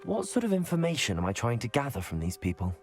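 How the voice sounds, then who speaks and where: An actor playing an earnest, courteous young student hero in a video game, speaking in a measured way. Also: male voice, medium pitch, sing-song, faint music behind. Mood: determined.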